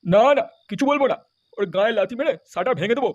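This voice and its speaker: Brief: man playing irate cartoon dad, extremely high-pitched, rapid, cursing and threatening. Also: expressive, lo-fi sound. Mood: furious. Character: furious foul-mouthed middle-aged village father. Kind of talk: comedy cartoon dubbing.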